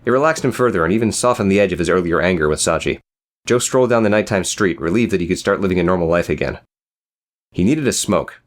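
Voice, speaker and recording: A man's voice: deep voice, expressive, fast talker. A man narrating a scripted, dramatic story as an audiobook reader.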